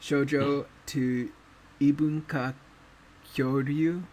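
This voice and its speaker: A man hosting a casual anime chat, talking in a relaxed way with many fillers and pauses. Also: mid-range voice, rough recording. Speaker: podcast host.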